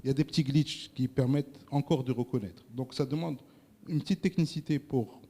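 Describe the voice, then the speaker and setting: A male presenter lecturing a live audience, hesitant, often repeating words and filling pauses, asking the room questions. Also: mid-range voice, mellow. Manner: addresses the audience directly with questions. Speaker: workshop presenter.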